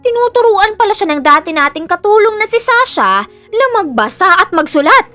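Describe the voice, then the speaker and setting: A woman's voice: extremely high pitch, big pitch swings, normal speed, bright, forceful tone, soft background music, heavily acted. A woman with a Filipino accent playing a spiteful, greedy, scheming mother in an audio drama. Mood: furious.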